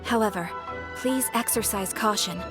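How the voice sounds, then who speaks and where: A woman voicing a polite, composed mechanical guild receptionist in a game, speaking formally and in measured phrases. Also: medium pitch, lightly acted. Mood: courteous. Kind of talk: scripted game dialogue. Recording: music behind the voice.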